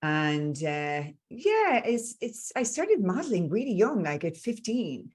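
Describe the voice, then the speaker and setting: A woman's voice: mid-range voice, very animated, unhurried, darkish tone. A woman in her forties with an Irish accent from Dublin, an earnest, upbeat coach talking as a podcast guest. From Ireland. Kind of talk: conversational interview.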